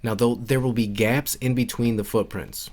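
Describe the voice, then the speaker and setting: A man's voice: mid-range voice, fairly even intonation, normal speed, a little mellow. A man giving casual, instructional walkthrough commentary for a video game, informal and repeating his key points. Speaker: game guide creator and narrator.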